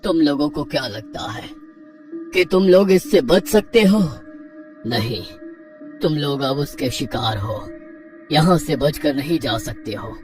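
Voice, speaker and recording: A man as a menacing possessed young man, ominous, deliberate, cartoon horror, music behind, distant mic. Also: a little high, animated.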